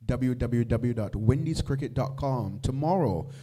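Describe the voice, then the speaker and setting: A man with a Barbadian accent moderating a press conference, polite and measured, with frequent fillers. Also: mid-range voice, mellow. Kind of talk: formal press briefing.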